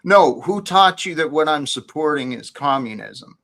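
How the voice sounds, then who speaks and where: A man hosting a casual group chat, calmly pushing back and correcting the others. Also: fairly high pitch. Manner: calm, corrects others in a measured way. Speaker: host.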